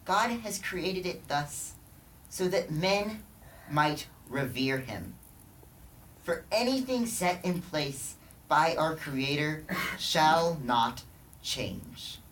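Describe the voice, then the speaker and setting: Young man as a slow, declamatory, world-weary king. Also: fairly high pitch, very animated, fairly bright tone, full character voice. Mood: solemn. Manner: declamatory, dramatic pauses, emphatic repetition. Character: weary, broken old teacher-king lamenting life's emptiness. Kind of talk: theatrical biblical monologue. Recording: distant mic, faint background noise.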